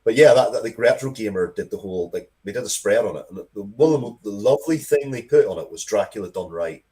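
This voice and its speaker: A man's voice: fairly low pitch, fast. A middle-aged man talking casually as an interview guest, rambling and swearing freely as he tells stories with enthusiasm. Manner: sweary, rambling, animated storytelling. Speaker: interview guest, game and comics creator.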